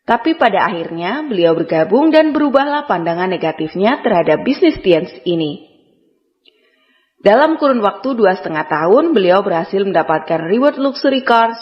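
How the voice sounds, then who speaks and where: A woman reading a formal scripted introduction of a speaker as an emcee. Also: mid-range voice. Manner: formal, announcing tone. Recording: echoey hall, dull lo-fi sound.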